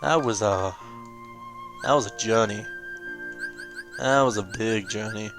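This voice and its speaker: A man giving casual, rambling game commentary as a let's play host, informal and often correcting himself mid-sentence, with a creaky voice. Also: on the low side, takes it slowly, music in the background.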